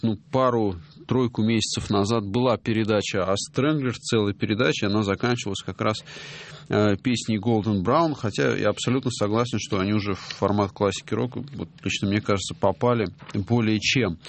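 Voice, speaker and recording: A middle-aged man hosting a music radio show, talking casually and hesitantly, with many drawn-out filler pauses. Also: on the low side, vocal fry. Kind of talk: casual radio talk reading listener messages.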